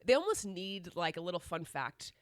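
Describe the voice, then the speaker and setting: A woman's voice: lowish voice, big pitch swings, normal speed, dry recording, clean studio sound. A woman with a cold co-hosting a podcast, slightly nasal and congested, chatting casually with lots of restarts. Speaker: podcast co-host. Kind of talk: casual chat.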